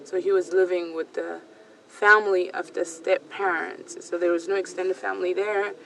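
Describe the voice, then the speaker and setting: A woman's voice: on the low side, little pitch movement, moderate pace, creaky, a bit bright, distant mic, slight background noise, low-quality recording. A woman in her thirties from Ethiopia, telling a personal story hesitantly, with many fillers and restarts. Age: 30s. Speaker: storyteller sharing a personal account. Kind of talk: personal testimony. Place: small recording booth.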